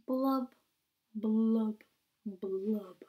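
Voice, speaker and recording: A woman voicing a glum, gloomy male fish in a picture book, in a slow mopey sing-song with deep drawn-out blubs. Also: mellow, a little hiss or hum. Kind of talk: storybook reading.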